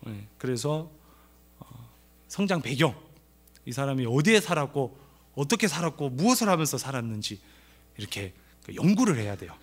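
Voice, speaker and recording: A middle-aged man from the Gyeongsang region with a light Gyeongsang accent, preaching at a church family seminar in a lively, playful way, acting out quoted lines. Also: medium pitch, moderate pace, pauses often. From Gyeongsang region of Korea. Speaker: pastor.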